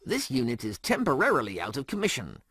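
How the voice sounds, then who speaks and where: A man's voice: mid-range voice, huge pitch swings, fast talker, very noisy. A man voicing an officious, salesman-like medical machine that speaks in clipped, formulaic announcements. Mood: bland, officious.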